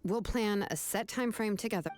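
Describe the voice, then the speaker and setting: A woman voicing a composed, principled young researcher in scripted game dialogue, speaking in a measured, articulate, firm way. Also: mid-range voice, darkish tone, soft background music, lightly acted. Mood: firm, businesslike.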